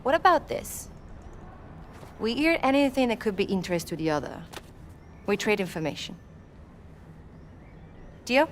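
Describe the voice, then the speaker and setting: A woman playing a sharp, guarded investigative journalist with an Italian accent in a cinematic game, probing and self-assured. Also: mid-range voice, sing-song, a little slow, long pauses, a little hiss or hum. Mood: brisk.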